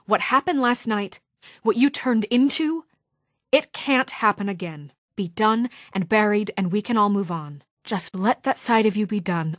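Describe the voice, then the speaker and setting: A woman's voice: medium pitch, no room sound, studio quality, close to an everyday voice. A woman playing a principled young deputy mayor in a story video game, speaking with earnest, firm exasperation in naturalistic dramatic dialogue. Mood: earnest, pleading.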